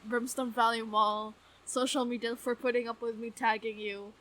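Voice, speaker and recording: A woman in her twenties chatting casually as a podcast co-host, gushing, excitable and quick to swear. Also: a little high, low-quality recording. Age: 20s. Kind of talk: casual fan podcast chat.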